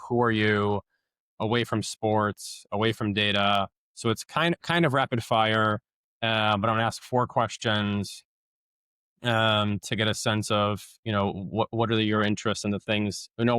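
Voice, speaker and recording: Smooth low-voiced male podcast host. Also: a bit bright, no room sound. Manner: filler-heavy, stumbling restarts. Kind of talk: casual interview questions.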